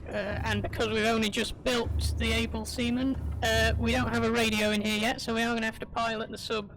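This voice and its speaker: A woman's voice: fairly high pitch, level tone, a little fast, fairly bright tone. Chatty woman with a crackly voice. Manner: rambling, chatty, self-correcting. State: voice a little crackly. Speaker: streamer. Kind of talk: casual live gameplay commentary. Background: game music.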